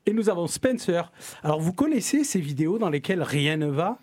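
Playful higher-voiced French show host. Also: male voice, very animated, fairly quick, a little mellow, husky. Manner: playful, teasing, with frequent fillers. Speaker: podcast host. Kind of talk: hosting a comedy talk show.

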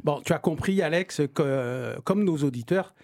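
Playful higher-voiced French show host. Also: male voice, unhurried, gravelly, a little mellow. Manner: playful, teasing, with frequent fillers. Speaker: podcast host. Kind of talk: hosting a comedy talk show.

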